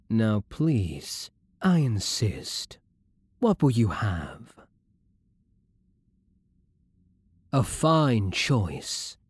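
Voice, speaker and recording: A man's voice: lowish voice, sing-song, drawn-out words, husky, no echo, exaggerated voice. A man playing a suave, sadistic vampire lord in an intimate ASMR roleplay, courtly, teasing and menacingly polite. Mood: teasing, unhurried.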